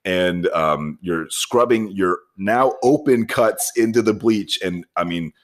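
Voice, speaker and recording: A man's voice: low voice, takes it slowly. A man co-hosting a casual podcast, explaining technical details of auto repair work with dry joking. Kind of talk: casual shop-talk banter.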